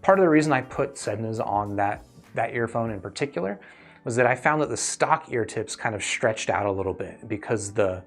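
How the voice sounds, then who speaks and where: A man hosting a live stream in a casual, conversational way, thinking aloud as he answers viewers. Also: lowish voice. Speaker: host of a livestream reviewing earphones.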